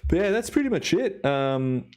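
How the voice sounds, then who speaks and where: A man's voice: fairly high pitch, very animated, moderate pace, a little mellow. A man hosting a live stream in casual, enthusiastic commentary, his voice a little worn from a long day of recording. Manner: enthusiastic, awed exclamations. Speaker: live stream host, timelapse photographer.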